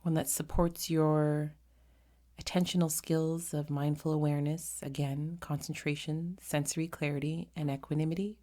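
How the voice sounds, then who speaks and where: A woman leading a guided meditation in a gentle, unhurried manner, pausing often between short phrases. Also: low voice, flat delivery.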